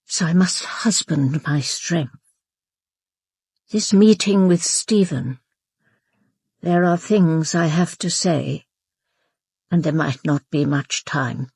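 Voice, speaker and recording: An actress playing a proud, grieving aristocratic woman in her eighties in a radio drama, with an upper-class British accent and a measured, clipped, formal manner. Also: low-pitched, vocal fry, darkish tone, slightly rough, dry recording, clean studio sound, exaggerated voice. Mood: grieving, resolute. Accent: upper-class British English. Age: 80s. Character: proud, grieving elderly aristocratic mother, stoic and imperious. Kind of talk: radio drama with first-person narration.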